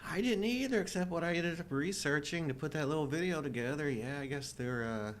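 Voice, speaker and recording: Middle-aged man chatting over faint rumble. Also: brisk. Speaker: co-host. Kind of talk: casual live-show chat.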